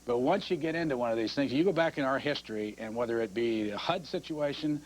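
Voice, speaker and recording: A man's voice: mid-range voice, fast talker, slight background noise, low-quality recording. A man hosting a TV call-in show, explaining his network's choices with hesitant restarts. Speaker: TV call-in host.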